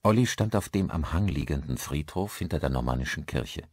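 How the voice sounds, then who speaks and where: Male narrator for horror audiobook. Low voice, dry close sound, scripted reading. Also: expressive, brisk, husky, no echo.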